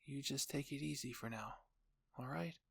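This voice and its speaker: A man's voice: mid-range voice, normal speed, creaky, husky, dry recording, studio quality. A man voicing a bossy but concerned young queen in a roleplay story, with a scolding, insistent manner.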